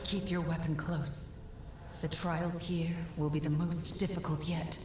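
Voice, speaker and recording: A calm female adviser from a video game. Her voice sits fairly low and is solemn and measured, with echo around it and music behind. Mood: solemn, urgent. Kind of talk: dramatic video game acting. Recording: echoey, over game music.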